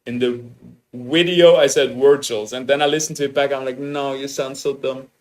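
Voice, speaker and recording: A young man with an Austrian German accent, chatting excitedly to his viewers as a game streamer. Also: mid-range voice, normal speed. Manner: excitable, upbeat, chatty with restarts. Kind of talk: casual livestream chat, greeting viewers.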